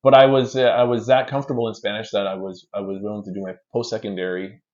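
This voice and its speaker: Low-voiced Canadian man telling stories. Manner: animated, repeats words in quick restarts. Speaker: interview guest. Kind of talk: casual chat, storytelling. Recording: dull lo-fi sound.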